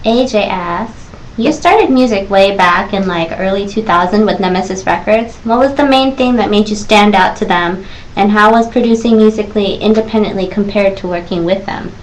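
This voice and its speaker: A woman's voice: mid-range voice, normal speed, a little hiss or hum, low-quality recording. A woman co-hosting a live stream, reading out viewer questions in a plain, matter-of-fact way and moderating the chat.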